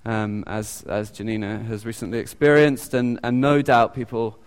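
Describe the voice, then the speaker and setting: A man with a British accent giving an informal sermon. He talks haltingly, with many ums and restarts. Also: lowish voice, little pitch movement, smooth. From United Kingdom. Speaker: lay preacher. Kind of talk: informal sermon with audience questions. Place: church hall. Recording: long echoey church reverb.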